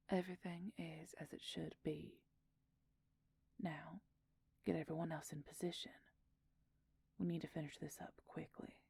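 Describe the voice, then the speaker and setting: A woman playing a smug, possessive royal werewolf schemer in an audio roleplay, silky and condescending, with a husky, breathy, creaky near-whisper. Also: sits low, dark tone, no room sound, heavily acted. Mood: cold, scheming. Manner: silky, condescending, slow and deliberate. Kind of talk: audio roleplay acting, addressing the listener.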